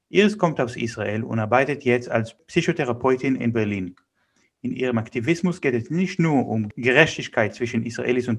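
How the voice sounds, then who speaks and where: Male voice, medium pitch, interview host, introductions and questions.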